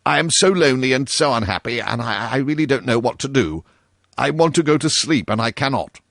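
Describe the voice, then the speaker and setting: A man's voice: medium pitch, lively intonation, brisk, vocal fry, fairly bright tone, no room sound, studio quality, pitched above the performer's own voice. A man voicing a petulant, pompous old aristocratic ghost in a storybook reading, haughty and self-pitying. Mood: pleading.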